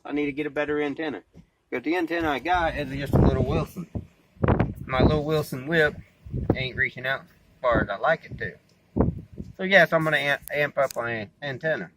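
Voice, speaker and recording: A man's voice: mid-range voice, fairly bright tone, slight background noise, poor audio. A man talking casually and plainly into a cell phone, in a folksy, slangy, rambling vlog style. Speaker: amateur vlogger and CB radio hobbyist, former truck driver.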